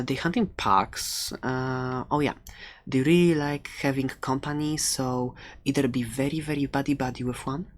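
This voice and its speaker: A woman playing a friendly, chatty fantasy shopkeeper in a soft ASMR roleplay, playful and hesitant as she rambles through her sales pitch.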